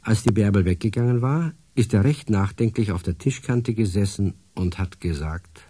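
A man's voice: fairly low pitch, normal speed, warm tone, husky, dry recording. A man narrating a radio play as a storyteller.

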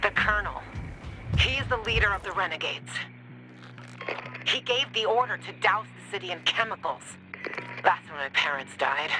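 A woman's voice: medium pitch, expressive, moderate pace, pauses often, over music, natural voice, poor audio. A woman voicing a tough, bitter young survivor in a video game, with a blunt, sardonic manner. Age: young adult.

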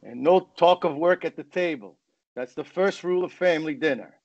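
Dull-sounding actor as a blunt, domineering uncle. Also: male voice, on the high side, fairly quick, a little mellow, dry recording, close to an everyday voice. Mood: bossy. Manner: blunt, scolding. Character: gruff, domineering Greek uncle in his fifties. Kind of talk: screenplay table read. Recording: dull lo-fi video call.

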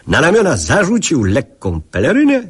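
An actor playing a man recalling his childhood, narrating a radio drama in a measured, literary way with quiet irony. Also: on the high side, wildly swooping pitch. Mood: wry.

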